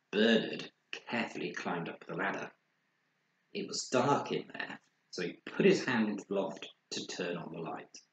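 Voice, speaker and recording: Slow, expressive male children's storyteller, roomy. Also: deep voice. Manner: dramatic pauses and sound words. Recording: roomy echo, faint background noise.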